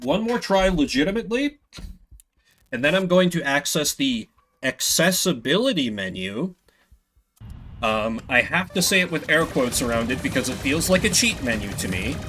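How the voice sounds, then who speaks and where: Animated male game streamer, sweary and rambling. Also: fairly high pitch, moderate pace, slight background noise. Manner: sweary, self-deprecating, rambling. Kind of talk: casual live gaming commentary with chat. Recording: music behind the voice. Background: video game music and sound effects.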